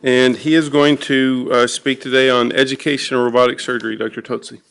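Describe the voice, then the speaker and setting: Man introducing a speaker, flat and smooth. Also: mid-range voice, noisy background. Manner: halting, many fillers. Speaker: faculty host introducing the speaker. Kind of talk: introduction at grand rounds. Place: lecture hall.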